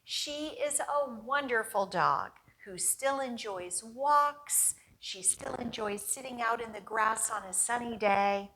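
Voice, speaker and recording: A woman's voice: a little high, takes it slowly, few pauses, bright, forceful tone, rough recording. A woman hosting a children's storytime, reading aloud expressively and coaxingly putting questions to young listeners.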